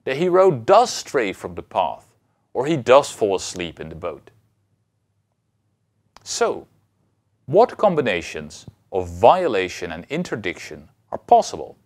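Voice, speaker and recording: A man in his thirties giving an explanatory lecture as a university lecturer, phrasing deliberately and emphatically with pauses. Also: fairly low pitch, huge pitch swings, a little mellow.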